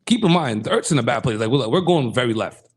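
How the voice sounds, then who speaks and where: A man's voice: medium pitch, expressive, fast talker. A young man hosting a casual talk show, playful and slangy, joking with his guest. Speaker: host.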